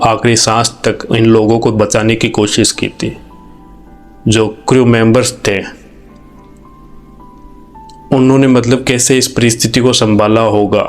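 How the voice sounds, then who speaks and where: An Indian man with a fairly low voice speaks over faint music. He talks earnestly and informally, like a host reflecting aloud to his viewers. English phrases slip into his conversational delivery. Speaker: video host. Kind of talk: informal, reflective commentary to camera.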